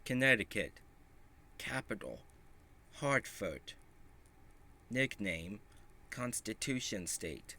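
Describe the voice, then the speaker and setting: A man reading a children's picture book aloud in a halting, deliberate way, with small stumbles. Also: lowish voice, expressive, pushed and bright. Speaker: storybook reader.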